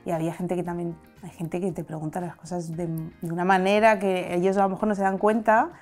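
A woman in her thirties from Madrid with a Castilian Spanish accent, speaking candidly and reflectively in an interview, seated on a sofa in a bright studio with a lapel mic. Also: fairly low pitch, level tone, brisk, faint music behind. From Madrid, Spain. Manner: reflective, open, gesturing with her hands. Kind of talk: candid personal testimony.

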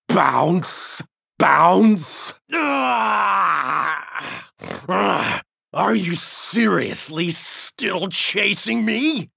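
A man playing a gruff, cunning, theatrical reptilian alien bounty hunter with a raspy, gravelly voice in a video game. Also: medium pitch, sharp tone, dry recording, clean studio sound, full character voice. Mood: frantic. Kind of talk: video game character acting.

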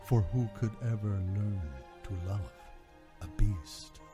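A man giving a dramatic, scripted fairy-tale narration in measured, solemn, short phrases. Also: fairly low pitch. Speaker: podcast host and narrator. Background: music under the voice.

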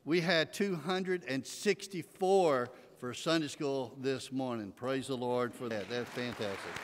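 A man's voice: mid-range voice, unhurried, some chatter behind, slight background noise. An older man with an East Texas accent giving folksy church announcements from a pulpit, with many filler uhs. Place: large church sanctuary with choir behind. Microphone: pulpit mic. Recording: long reverb of a big sanctuary.

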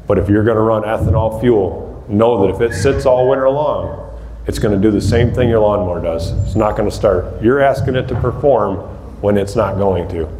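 A man's voice: on the low side, moderate pace, warm tone. Plainspoken man in an echoey hall. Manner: plainspoken, practical, frequent ums and restarts. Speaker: demolition derby builder giving a seminar. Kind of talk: casual talk to a small audience. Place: large hall with rows of empty chairs, indoors. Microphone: far camera across the room. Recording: echoey hall, faint background hiss.

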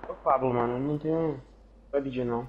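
A man's voice: mid-range voice, fairly even intonation, a little fast, lo-fi sound, a little hiss or hum. A man from Minas Gerais with a Mineiro accent, giving short laid-back replies in game voice chat. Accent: Mineiro Brazilian Portuguese. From Minas Gerais, Brazil. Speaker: random teammate on voice chat.

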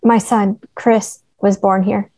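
Woman reading a creaky, anxious young mother. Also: medium pitch, level tone, slow, dark tone. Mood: grave, worried. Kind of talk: online table read of a screenplay. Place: indoor room, video call.

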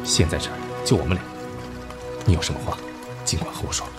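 A man's voice: rumbling low, fast talker, over loud music. A man playing a passionate, betrayed young army officer in an emotional radio drama. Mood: urgent concern. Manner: impassioned, breaking into bitter laughter and hushed pleading.

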